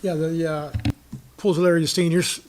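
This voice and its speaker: A man on a town commission giving a quick, casual report at a public meeting, with fillers. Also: on the high side, flat delivery, a little hiss or hum.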